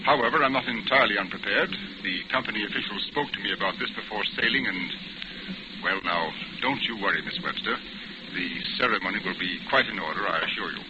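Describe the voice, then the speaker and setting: Actor as courteous male naval officer, lowish voice, steady, formal, 1940s radio acting, noisy recording. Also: quick pace, lo-fi sound, lightly acted. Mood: formal, reassuring.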